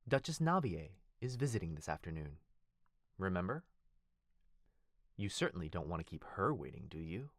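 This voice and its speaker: A man performs a loyal, courteous butler in an intimate ASMR roleplay. His low voice swings expressively as he speaks with formal deference and fond, gentle teasing. The sound is dry, with no room around it. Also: very animated, moderate pace, pauses often, no room sound, exaggerated voice. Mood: gently coaxing. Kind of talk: ASMR boyfriend roleplay, scripted acting.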